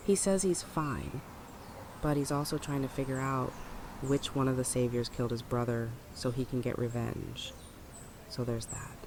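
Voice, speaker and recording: A man's voice: mid-range voice, fairly even intonation, moderate pace, background noise, close to an everyday voice. A man playing a laconic, dry-humoured apocalypse survivor in an audio drama.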